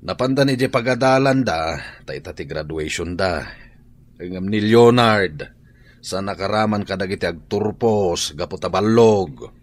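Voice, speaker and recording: A man's voice: low-pitched, measured pace. A man playing a blunt, principled father in a radio drama, with an Ilocano accent. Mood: musing, disappointed.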